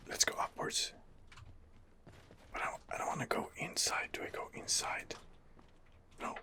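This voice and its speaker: A man hosting an ASMR game playthrough, muttering hesitant commentary in a breathy whisper. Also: a little slow, bright, forceful tone. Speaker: gaming video host.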